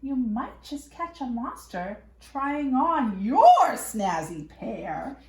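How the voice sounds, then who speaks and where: A woman reading a picture book aloud to young children in an expressive, playful way with dramatic emphasis. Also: on the high side, slow pace. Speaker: children's storyteller. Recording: echoey room.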